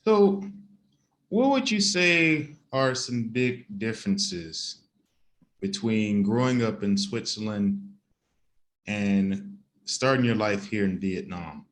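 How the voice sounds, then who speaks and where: A man hosting an interview as a podcast host, with a measured, deliberate way of putting questions. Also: lowish voice, expressive, a little hiss or hum. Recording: roomy echo.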